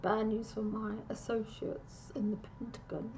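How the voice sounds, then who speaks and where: A woman giving a casual, meandering psychic reading, pausing and restarting often, on a dull lo-fi recording. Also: a little high, monotone, background noise. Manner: meandering, with frequent restarts and pauses. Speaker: psychic reader.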